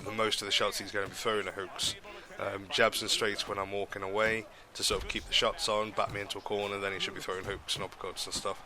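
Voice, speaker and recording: Young man, blocked nose, nasal, low voice, monotone, rambling gameplay talk with ums. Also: quick pace, few pauses, a crowd in the background. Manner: rambling, many ums and restarts. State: stuffy nose from a cold. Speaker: gaming commentator. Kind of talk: casual gameplay commentary.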